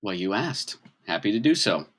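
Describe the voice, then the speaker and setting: A man's voice: on the low side, sing-song, moderate pace, fairly bright tone. A man voicing an agreeable, easygoing fan offering a lift, in a breezy, obliging manner.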